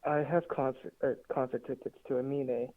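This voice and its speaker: A man's voice: medium pitch, level tone, normal speed. A 19-year-old man calling in for advice, hesitant and rambling. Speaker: caller. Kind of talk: phone call-in. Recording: tinny phone line.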